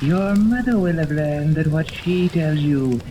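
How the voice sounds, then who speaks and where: A man's voice: on the high side, measured pace, lightly acted. A noisy 1930s radio recording with rain ambience carries an actor as a haughty male mad scientist. He rules imperiously, speaking in pompous, wordy pronouncements in old serial style. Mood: commanding. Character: haughty, tyrannical male mad scientist commanding a secret island.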